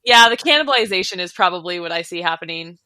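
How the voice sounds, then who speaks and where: A woman's voice: fairly low pitch, lively intonation, quick pace, bright, forceful tone, dry recording, low-quality recording. A woman co-hosting a podcast, chatting casually in a playful, informal manner. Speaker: podcast co-host. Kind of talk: casual chat.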